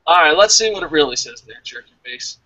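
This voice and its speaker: High-pitched, animated man talking wrestling. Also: fast talker, no room sound, muffled recording. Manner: profane, joking, animated. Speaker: wrestling review show host. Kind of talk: casual wrestling talk, reading out listener comments.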